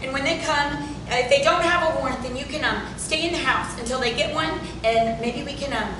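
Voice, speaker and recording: Woman acting a strong-willed young mother and wife, high voice, insistent, stage drama reading, distant noisy room. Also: a little fast, close to an everyday voice. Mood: hesitant, worried. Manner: pleading, firm, insistent. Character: fiercely loyal, strong-willed working-class wife and mother. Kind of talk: theatrical table reading of Southern Gothic tragedy. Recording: very echoey, distant room with rumbling noise.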